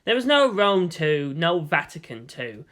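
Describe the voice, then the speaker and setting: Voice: mid-range voice, sing-song, measured pace, a bit bright. A narrator reading a scripted fan fiction story in audiobook style.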